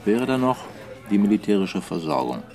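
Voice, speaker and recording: A wry journalist in a German radio drama. The voice sits a little low, and the talk is conversational and musing, like a well-informed friend sharing what he has. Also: big pitch swings, moderate pace, vocal fry, warm tone.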